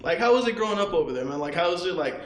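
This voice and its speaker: A man hosts a casual podcast chat, firing off questions fast in a fairly high voice. The recording sounds roomy, with echo and faint background noise. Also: expressive. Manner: quick, friendly, brief backchannels. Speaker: podcast host.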